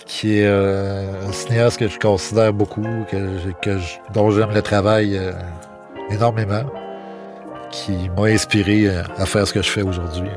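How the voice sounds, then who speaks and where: A man's voice: fairly low pitch, flat delivery, normal speed, music in the background. A man with a Quebec French accent narrating casually and personally, in a colloquial, hesitant way. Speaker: visual artist introducing his guest.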